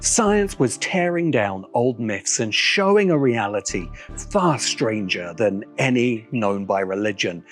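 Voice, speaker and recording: A man delivers a scripted video essay in a measured, formal voice. His pitch sits in the middle but moves with lively variation, and music plays underneath.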